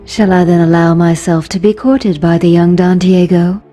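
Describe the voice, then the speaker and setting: Woman playing a low-voiced, poised seductress. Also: level tone, moderate pace, dark tone, faint music behind, lightly acted. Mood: cool, poised. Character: cool, seductive female spy and swordswoman. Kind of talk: video game cutscene acting.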